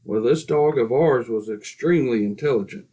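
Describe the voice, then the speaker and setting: A man's voice: medium pitch, normal speed, warm tone, natural voice. A man playing a genteel, smug society neighbor in an old-time radio comedy, formal and politely superior. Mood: smugly polite.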